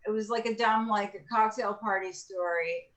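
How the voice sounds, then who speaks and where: Bright sharp voiced woman, farsi is her first language, loose chatty storytelling. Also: mid-range voice, steady tone, moderate pace. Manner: loose, filler-heavy storytelling. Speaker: comedian guest. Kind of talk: casual chat.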